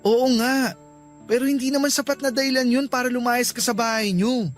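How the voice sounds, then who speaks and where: Young male lover for radio romance, played by a man: earnest, imploring, soft music bed underneath. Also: very high-pitched, fairly even intonation, brisk. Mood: concerned, puzzled. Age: young adult. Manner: pleading, earnest. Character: earnest young man, pleading suitor and concerned boyfriend. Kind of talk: Tagalog radio love drama acting.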